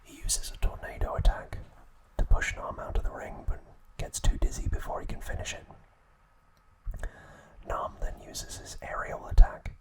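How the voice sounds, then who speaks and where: Male, breathy unvoiced whisper, ASMR narration, slow measured storytelling, recap host. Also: rough recording.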